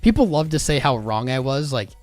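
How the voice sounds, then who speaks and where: A man's voice: mid-range voice, very animated, a little fast, a little mellow, no echo, faint music behind. Slangy man hosting a crypto livestream. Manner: rambling, slangy, talks straight to chat. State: losing his voice. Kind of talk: casual livestream chat.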